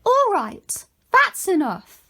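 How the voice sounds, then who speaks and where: A woman's voice: very high-pitched, wildly swooping pitch, slow, bright, forceful tone, exaggerated voice. A woman playing a jealous, murderous widow in an amateur murder mystery audio drama, theatrical and emphatic. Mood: indignant.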